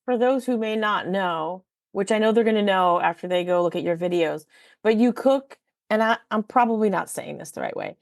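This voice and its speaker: A woman hosts a podcast interview in easy, chatty conversation, stopping to correct her own words. Her voice has a gravelly edge of vocal fry. The recording is dry and close, with no room sound. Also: medium pitch, normal speed, no room sound. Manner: chatty, self-correcting, laughs often. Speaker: podcast host. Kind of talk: casual interview chat.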